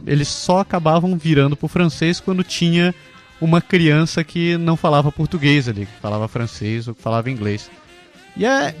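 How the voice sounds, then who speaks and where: A Brazilian man hosting a casual podcast, telling his own story and passing questions to his co-hosts. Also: a little high. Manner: friendly, leads the talk with questions. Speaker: podcast host.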